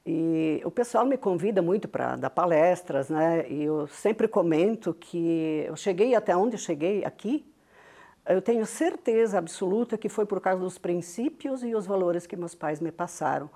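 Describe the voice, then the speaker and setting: An older woman with a heavy Italian-Venetian-influenced southern Brazilian accent, her first language Venetian. Her voice sits somewhat low and sounds mellow and soft. She tells stories in an unhurried way and often ends a thought with a small tag question. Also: expressive. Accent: Italian-Venetian-influenced Brazilian Portuguese from Rio Grande do Sul. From southern Brazil (Rio Grande do Sul). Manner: warm storytelling, frequent tag questions. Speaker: guest, business founder. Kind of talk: interview.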